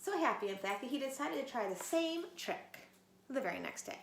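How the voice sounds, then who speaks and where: Brief: woman teacher, gentle read-aloud at home, slight background noise. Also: high voice, expressive, brisk, roomy sound. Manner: gentle, asks children questions. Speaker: teacher reading aloud to children. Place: home, pets nearby. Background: dogs playing.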